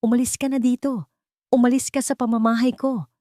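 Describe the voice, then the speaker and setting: A woman's voice: fairly high pitch, flat delivery, a little fast, mellow, no room sound, clean studio sound, pitched above the performer's own voice, lightly acted. A woman voicing a protective mother in a story, firm and indignant, trembling with anger. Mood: defiant. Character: frightened, fiercely protective poor mother, angry and defiant.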